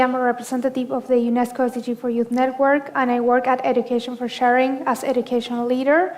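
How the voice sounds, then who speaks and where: High-voiced young woman from Argentina. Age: young adult. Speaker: panelist, education advocate. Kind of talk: prepared self-introduction. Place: university conference hall.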